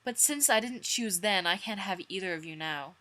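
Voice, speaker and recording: A woman's voice: medium pitch, animated, normal speed, sharp tone. A woman voicing a guilty, brooding young man torn between lovers, reading fanfiction melodramatically with hesitant sighs. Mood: self-blaming.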